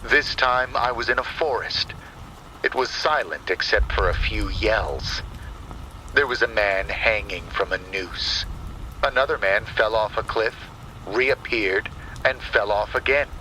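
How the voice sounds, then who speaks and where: A man narrating a scripted first-person horror story in a deliberate, dramatic manner. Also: on the low side, bright, forceful tone, a little hiss or hum, low-quality recording. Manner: slow, deliberate, dramatic delivery. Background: rain and thunder sound effects.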